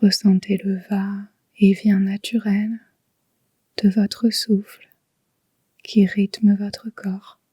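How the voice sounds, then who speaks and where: Female yoga nidra guide, soft and extremely airy, mellow tone, unhurried and calming, recorded dry. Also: medium pitch, dry recording. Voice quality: soft, breathy, whispery. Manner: soothing, hushed, unhurried. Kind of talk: slow guided relaxation meditation.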